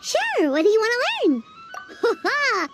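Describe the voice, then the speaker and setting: Squeaky little game fairy sidekick. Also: female voice, wildly swooping pitch, unhurried, exaggerated voice. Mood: curious, chirpy. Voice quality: squeaky, childlike. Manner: speaks of herself in third person, exclaiming. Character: small childlike floating fairy companion, chirpy, gluttonous, eager. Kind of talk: animated video game character acting. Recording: loud music behind.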